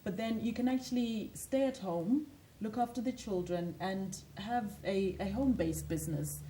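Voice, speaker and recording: A woman from Zimbabwe talks as a guest on a TV interview, earnest and motivational as she tells her own story. Her voice is warm and mellow. A faint hum sits in the studio behind her. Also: mid-range voice, moderate pace, poor audio. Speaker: author and lifestyle coach, guest.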